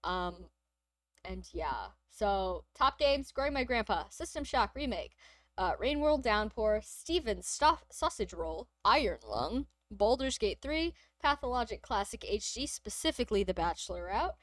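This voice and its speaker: A woman co-hosting a game stream in casual chat, hoarse from nearly losing her voice, chatty and quick to giggle. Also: medium pitch, very animated, a bit bright, no echo. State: nearly lost voice, sore throat. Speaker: streamer co-host.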